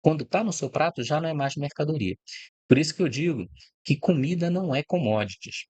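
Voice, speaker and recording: A man's voice: fairly high pitch, animated, a little fast, a little mellow, no room sound. A middle-aged man from Brazil giving an informal, reflective talk, explaining ideas step by step and often checking in with the listener. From Brazil, born in Rio de Janeiro. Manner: reflective, explanatory, frequent "né?" and "tá?" tags. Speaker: host of a channel about food and agribusiness. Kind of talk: informal spoken talk to camera.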